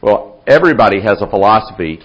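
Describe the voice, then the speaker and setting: A man, a theology professor, answering audience questions in a live talk, measured and unhurried with many fillers and restarts, with a loud voice. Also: on the low side, lively intonation, slightly rough, highs cut off. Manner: loud projecting voice.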